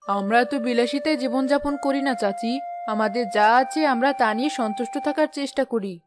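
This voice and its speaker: An actress playing a sensible, contented village wife in a cartoon, earnest and admonishing in manner. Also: female voice, a little high, brisk, music in the background, natural voice. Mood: calm, proud. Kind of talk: cartoon dialogue.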